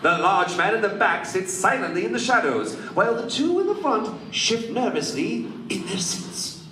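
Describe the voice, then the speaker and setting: An actor playing a grandiose old-time superhero serial announcer, narrating a mock-heroic radio play. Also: male voice, sits high, big pitch swings, normal speed, sharp tone, slight background noise, heavily acted. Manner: grandiose, dramatic. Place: live stage at a convention. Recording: echoey convention room, distant camera, music behind.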